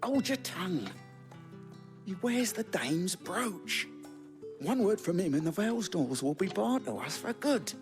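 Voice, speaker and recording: Cautious garrison soldier, gruff delivery, higher male register, soft music underneath, game dialogue. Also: very animated, measured pace. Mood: warning. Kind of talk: video game cutscene acting. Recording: faint music behind the voice.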